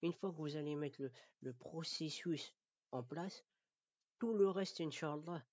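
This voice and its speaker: A man from France giving an informal lesson as a Quran recitation teacher, halting and repeating his words, often checking that listeners follow. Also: fairly high pitch, darkish tone, dry recording, dull audio. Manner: didactic, checks understanding. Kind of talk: informal spoken lesson addressed to learners.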